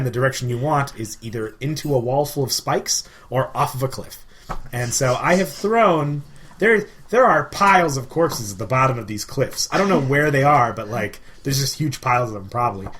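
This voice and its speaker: A man co-hosting a podcast, chatting casually about games and telling stories in an animated way. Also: mid-range voice, fairly quick. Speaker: podcast co-host. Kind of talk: casual gaming chat. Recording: clear background noise.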